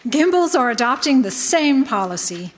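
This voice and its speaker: A woman playing a brisk, eager department store businesswoman in a stage radio drama, polite and keen. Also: sits high, big pitch swings. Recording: echoey hall stage recording.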